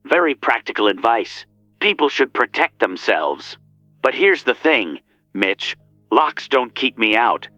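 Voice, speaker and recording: A calm, taunting killer on a late-night call, lingering over every word. His voice swings widely in pitch and sounds bright and sharp. The sound is dry and a little dull. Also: no echo, faint music behind, full character voice. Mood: menacing. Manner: slow, teasing, sinister. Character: menacing male killer caller, calm and taunting. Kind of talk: audio drama acting.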